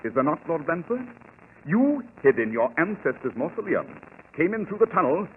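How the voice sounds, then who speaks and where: An actor playing a keen, commanding gentleman detective in a radio drama, with an English accent and precise, formal, theatrical diction. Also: a little high, very animated, normal speed. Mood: assured, explaining. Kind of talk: 1940s radio acting. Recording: crackly, narrow-band old radio recording.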